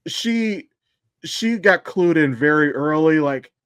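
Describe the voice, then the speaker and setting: Higher-pitched man giving casual podcast commentary. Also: expressive, takes it slowly, fairly bright tone, clear, resonant tone, no room sound. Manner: emphatic, explanatory, casually profane. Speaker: podcast co-host.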